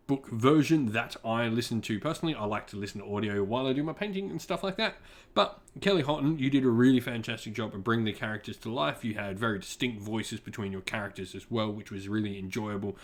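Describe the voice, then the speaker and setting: A man gives an informal review as a channel host, in a mid-pitched voice. He talks conversationally to his viewers, thinking through his opinions and softening them with little asides. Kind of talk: informal scripted-outline review commentary to viewers.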